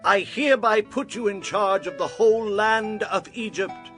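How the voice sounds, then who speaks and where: A man's voice: sits high, normal speed, fairly bright tone, faint music behind. An actor playing a commanding, regal king of Egypt in declamatory dramatized scripture.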